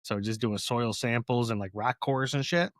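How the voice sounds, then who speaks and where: A man's voice: mid-range voice, moderate pace, no room sound, studio quality. A man in his thirties from Ohio who co-hosts a podcast and tells stories bluntly, swearing and laughing easily. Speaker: podcast co-host, veteran. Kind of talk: casual chat.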